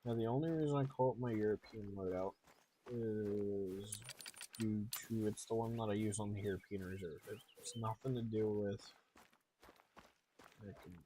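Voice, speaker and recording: Easygoing guy doing game stream chat, dark mellow voice, bit of noise behind him. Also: lowish voice, steady tone, moderate pace, rough recording. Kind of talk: casual live gameplay commentary.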